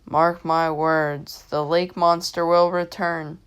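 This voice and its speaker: A woman's voice: low-pitched, little pitch movement, clean tone. A woman voicing an ominous older female shopkeeper in a storybook, drawing out dire warnings. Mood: foreboding. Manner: slow, drawn-out warnings.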